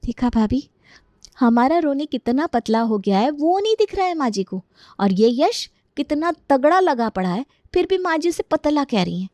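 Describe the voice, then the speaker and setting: A woman's voice: high voice, sing-song, normal speed, a little mellow, no echo. A woman playing a young daughter-in-law in a family story, sarcastic, resentful and aggrieved.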